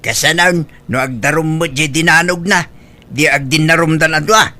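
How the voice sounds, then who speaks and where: A man's voice: on the high side, normal speed, sharp tone. A man with an Ilocano accent acting a stubborn, hard-drinking young suitor who whines and pleads in a comedy audio drama. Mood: whining defence.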